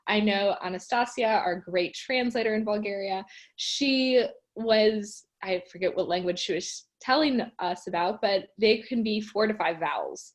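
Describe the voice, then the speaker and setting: A woman with a mid-range voice co-hosts a relaxed panel discussion. She talks in a chatty, friendly way and explains her points as she goes. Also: medium pitch, a little slow.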